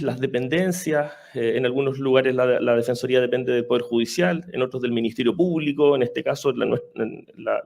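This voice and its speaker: Halting man giving a lecture. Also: mid-range voice, a little mellow. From Chile. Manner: hesitant, many fillers and restarts. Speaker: public defender office head of studies, seminar speaker. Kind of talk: seminar lecture.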